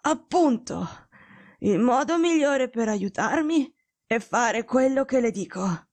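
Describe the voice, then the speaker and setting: Woman reading as sick detective guy in an audiobook, hoarse n breathless, bossy, halting. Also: a little high, expressive, a little mellow, dry recording, voice pushed up, heavily acted. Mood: imperious. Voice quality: weak, hoarse, gasping. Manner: curt, commanding, halting. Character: feverish, imperious gravely ill male detective.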